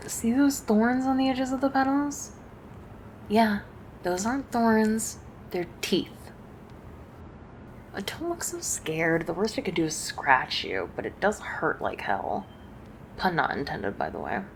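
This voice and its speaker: A woman plays a sweet-natured succubus chatting one-on-one in an ASMR roleplay. Her voice sits a bit low and is heavily creaky. She is playful, bashful and gently teasing, with faint ambient noise behind her. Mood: amused, matter-of-fact. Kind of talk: ASMR roleplay addressed to the listener.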